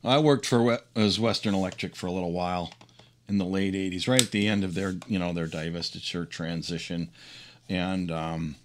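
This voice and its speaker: A man's voice: sits low, fairly even intonation, normal speed. A middle-aged man giving casual, unscripted hands-on commentary as a hobbyist host, rambling along with enthusiasm. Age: middle-aged or older. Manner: rambling, enthusiastic, chuckles often. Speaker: hobbyist host of a teardown video. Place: indoors at a workbench.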